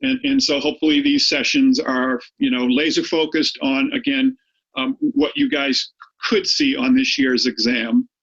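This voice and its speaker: Gravelly Boston-accented male teacher. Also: unhurried, muffled recording. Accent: Boston with a Maine tinge. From Boston area, United States. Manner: chatty, repeats words often, animated pitch. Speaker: high school statistics teacher. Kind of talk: online review lesson.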